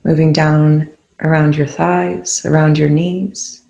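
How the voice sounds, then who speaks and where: A calm, soothing voice leading a guided meditation in gently spaced phrases. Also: medium pitch, slow pace, gravelly, lo-fi sound. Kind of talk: guided meditation, soft instruction. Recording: roomy, echoing indoor sound.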